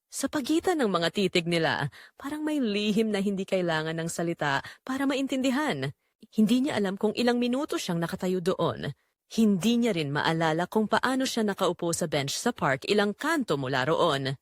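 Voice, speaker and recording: A woman with a Filipino accent narrates a scripted story dramatically, in measured, suspenseful short phrases. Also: mid-range voice, big pitch swings, no room sound, studio quality.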